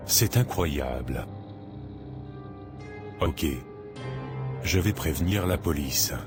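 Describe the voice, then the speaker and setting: A man playing a troubled, law-minded adult son in a cartoon, with a grave and deliberate delivery. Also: very deep voice, expressive, heavily acted. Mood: shocked. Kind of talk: dubbed cartoon dialogue. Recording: music under the voice.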